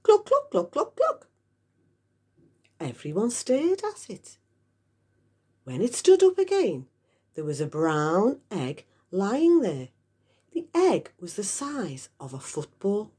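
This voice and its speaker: Female children's story narrator, slightly husky, hugely varied intonation, dramatic emphasis. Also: mid-range voice, huge pitch swings, slow pace, warm tone. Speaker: storybook reader.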